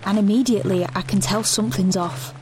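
A woman's voice: medium pitch, fairly even intonation, brisk, slight background noise, lightly acted, poor audio. An actress playing an anxious, grieving teenage girl who narrates her own story in an intimate audio drama. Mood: uneasy. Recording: studio recording over soft music.

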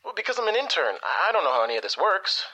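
A man's voice: sits high, very animated, very fast, bright, forceful tone, heavily acted, poor audio. A young man acting as a nervous, doubtful superhero intern in an audio drama, hesitant and stammering. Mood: flustered, protesting. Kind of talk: naturalistic audio drama dialogue.

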